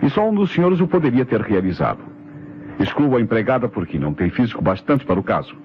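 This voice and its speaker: A Brazilian man playing a methodical, authoritative police inspector in an old radio mystery, explaining in a deliberate, declamatory way. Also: mid-range voice, big pitch swings, natural voice. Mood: assured, expository. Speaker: radio drama actor. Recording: dull, noisy vintage radio recording.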